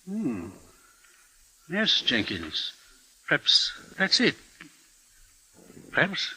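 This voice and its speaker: An actor playing a pompous, sceptical, raspy male boss in a 1950s radio drama. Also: a little high, wildly swooping pitch, extremely slow, bright, forceful tone, heavily acted, rough recording. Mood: sceptical, musing.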